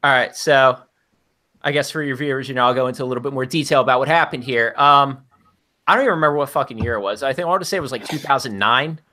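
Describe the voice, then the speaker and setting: A man with a high-pitched voice telling a story as a podcast guest, swearing freely and ranting. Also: fast talker, bright, forceful tone. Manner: profanity-laced ranting. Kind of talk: profane casual storytelling.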